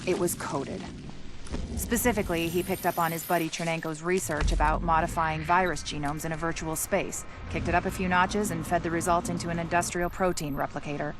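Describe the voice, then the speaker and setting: An actress playing a virologist who briefs with brisk, dry, sardonic remarks. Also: female voice, fairly low pitch, steady tone, close to an everyday voice. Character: dry-witted female virologist briefing an agent. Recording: game cutscene with music behind.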